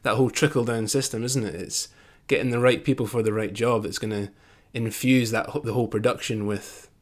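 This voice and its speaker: A man's voice: mid-range voice, steady tone, a little fast. A man co-hosting a casual podcast conversation, agreeable and reflective, often chiming in with short replies. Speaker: podcast co-host.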